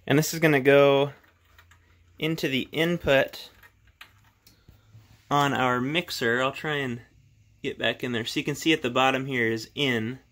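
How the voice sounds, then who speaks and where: A man's voice: medium pitch, fairly even intonation, measured pace, long pauses, fairly bright tone. A man in his thirties talking casually through a hands-on tutorial step by step, recorded in a small home workshop room. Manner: explains step by step in plain words. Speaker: hobbyist video host.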